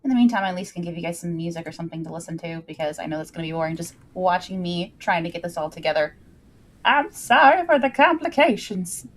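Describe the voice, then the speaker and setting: A woman's voice: lowish voice, expressive, quick pace. A woman hosting a casual livestream, talking in a bubbly, playful way with folksy turns of phrase. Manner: bubbly and playful, with folksy greetings and sing-song muttering. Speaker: livestream host.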